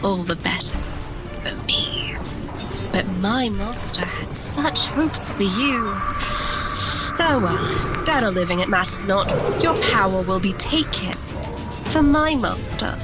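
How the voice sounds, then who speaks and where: A woman playing a menacing, condescending handmaiden of a dark power, speaking in a taunting, deliberate way in a fantasy audio drama. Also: medium pitch, lively intonation, over loud music.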